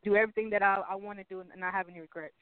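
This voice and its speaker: A woman's voice: medium pitch, fairly even intonation, racing, clear, resonant tone, no room sound. A woman in her twenties, a guest on a talk show, chatting casually and upbeat, with lots of fillers and repeated words. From Texas. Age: 20s. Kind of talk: casual interview chat. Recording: tinny phone line.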